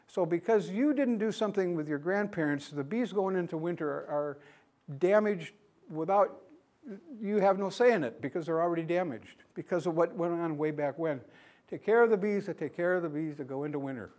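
A man's voice: fairly high pitch, a little fast, a little mellow. A man in his sixties from the United States giving a plain-spoken conference lecture in a classroom, asking the audience questions as he goes. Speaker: beekeeping speaker.

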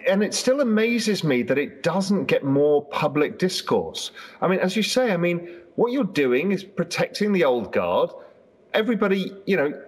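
A man's voice: sits high, breathy edge. A man in his fifties hosting an interview over a video call from a large room at home, talking in a rambling, conversational way. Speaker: host. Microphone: video call webcam.

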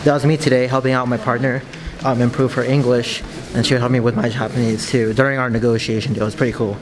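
A man's voice: medium pitch, little pitch movement, fast talker, noisy background. A young man, an international student, chatting casually to a handheld camera as he walks around his university, laughing often and dropping in Japanese words. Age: young adult. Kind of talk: casual vlog chat. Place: university classrooms and hallways, indoors. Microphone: handheld camera at arm's length.

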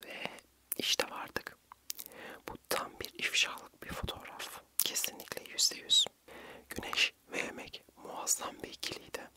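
Young man in a soft ASMR whisper. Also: a little slow. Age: young adult. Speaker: ASMR vlogger. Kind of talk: whispered ASMR vlog narration.

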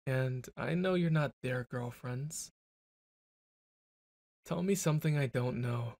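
A young man talks slowly and deliberately in an intimate boyfriend roleplay. He is brash and teasing, then stumbles into flustered little stammers. His voice sits at an ordinary middle pitch. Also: darkish tone, no room sound.

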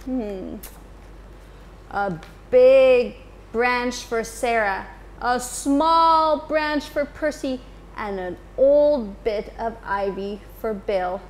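High-voiced teacher reading aloud slowly. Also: female voice. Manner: slow, expressive, addressing children. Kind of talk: children's storytime reading. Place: indoor school library.

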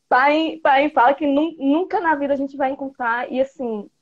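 A playful, chatty woman in her thirties with a Bahian Brazilian accent, her voice sitting a little high. She talks through an earphone mic on a video call, and the sound comes through slightly dull. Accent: interior Bahia Brazilian Portuguese. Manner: chatty, playful, gestures a lot. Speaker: guest, biology teacher. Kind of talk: casual video-call interview. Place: small room indoors. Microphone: earphone headset mic on video call.

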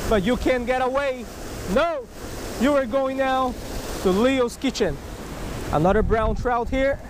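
A man giving a casual fishing tutorial to camera outdoors, animated and often checking in with short tag questions. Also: sits high. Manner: animated, repeatedly checks in with "right?" and "okay?". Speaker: fishing video host. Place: outdoors beside a rushing creek. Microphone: body-worn action camera. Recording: outdoor noise of rushing water. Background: rushing water.

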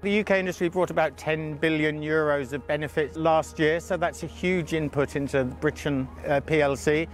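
A middle-aged man speaking in a measured, promotional way as an industry spokesman. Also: a little high, steady tone, faint music behind. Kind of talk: interview.

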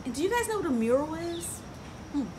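A woman's voice: extremely high pitch, sing-song, fast. A middle-aged woman hosting a children's story time, reading a picture book aloud expressively and asking the young listeners questions. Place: outdoors on a porch by trees. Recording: clear outdoor ambient noise.

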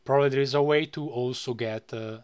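A man presenting a casual, unscripted software tutorial in a rambling way, often tagging phrases with "you know". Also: medium pitch, steady tone, fairly quick, clean tone.

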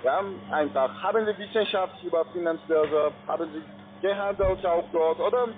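A man who is not a native speaker giving a formal, scripted sales pitch as a manager. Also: normal speed, a little hiss or hum. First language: not German. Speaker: call centre sales manager. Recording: narrow phone line.

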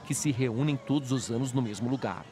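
A male reporter reads his feature voice-over at a brisk clip and in an upbeat tone. His voice sits at an ordinary male pitch. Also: warm tone. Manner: quick, upbeat reporter narration.

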